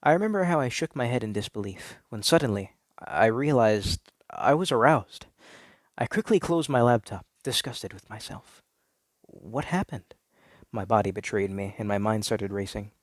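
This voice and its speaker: A man's voice: lowish voice, normal speed, no room sound, natural voice. A man playing an insecure, self-deceiving traditional guy who confesses an obsession in an earnest, theatrical dramatic reading. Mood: disgusted, shaken. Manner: theatrical, earnest, with dragged words and indignant outbursts. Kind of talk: melodramatic first-person dramatic reading.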